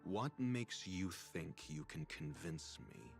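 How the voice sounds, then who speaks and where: A male actor playing a haughty, sardonic scholar in a video game, speaking in an arrogant, deliberate, dry manner. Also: low-pitched, expressive, gravelly, exaggerated voice. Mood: disdainful. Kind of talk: scripted video game dialogue. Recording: music behind the voice. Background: music.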